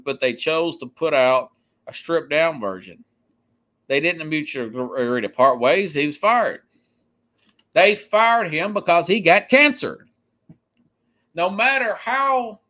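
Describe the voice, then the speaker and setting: A man hosting a sports podcast, giving opinionated, unscripted commentary in a halting, emphatic way that often circles back to repeat points. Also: a little high, sharp tone.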